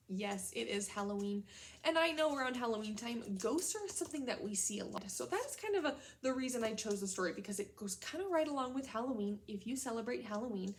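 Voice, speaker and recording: Female elementary teacher, slightly high voice, guiding a children's read-aloud with clear, simple prompts. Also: slight background noise. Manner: instructional, addresses children with simple questions and prompts.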